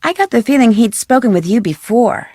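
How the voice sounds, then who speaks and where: A woman playing a polite, curious young amateur sleuth in a video game, speaking in clearly enunciated, inquisitive lines. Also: fairly high pitch, expressive, fairly quick, warm tone, no echo, clean studio sound.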